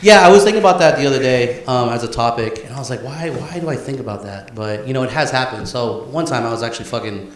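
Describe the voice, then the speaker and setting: A man's voice: mid-range voice, lively intonation, quick pace. A young man co-hosting a casual podcast, chatting off the cuff in a crude, profane, joking way. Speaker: podcast co-host. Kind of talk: casual, unscripted podcast banter. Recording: echoey room with faint noise.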